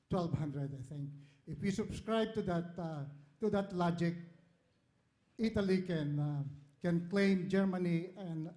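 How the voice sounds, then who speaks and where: A man with a Filipino accent putting an earnest, halting, long-winded question from the floor at a public event. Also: fairly high pitch, dark tone. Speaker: audience questioner, community organizer.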